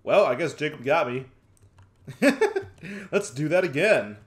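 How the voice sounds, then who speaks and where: A man's voice: a little high, expressive, unhurried, slight background noise. A man doing casual gaming commentary in a flippant, jokey, sweary manner. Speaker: gaming video host. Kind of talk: casual let's play commentary, reading dialogue aloud.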